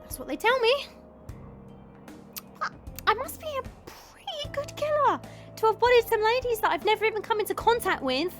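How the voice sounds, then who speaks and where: A woman playing a cocky, mocking female vampire monster in an audio roleplay drama, with a drawn-out, sarcastic delivery. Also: very high voice, lively intonation, faint music behind. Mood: sardonic, defensive.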